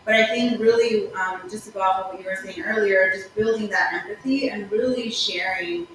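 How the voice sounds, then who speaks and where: A woman from Puerto Rico speaking on a panel in a warm, encouraging and personal way. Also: medium pitch, normal speed. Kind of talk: panel discussion. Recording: echoey room with background noise.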